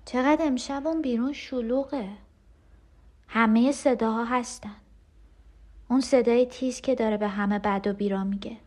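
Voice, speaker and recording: An actress playing a frightened, tender and clinging twin sister in an emotional audio drama monologue. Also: female voice, fairly high pitch, steady tone, darkish tone, close to an everyday voice. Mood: hushed, wistful. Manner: intimate, emotional, pleading.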